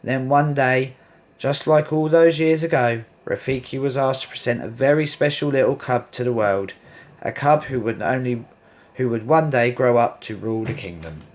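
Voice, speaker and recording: A man reading a children's story aloud in an expressive read-along style, with emphatic delivery. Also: mid-range voice, moderate pace.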